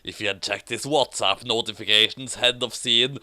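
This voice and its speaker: A man's voice: mid-range voice, steady tone, moderate pace, bright, forceful tone, no echo. A man reading a comedic fanfiction aloud with asides, in an amused, mock-dramatic way. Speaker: story reader.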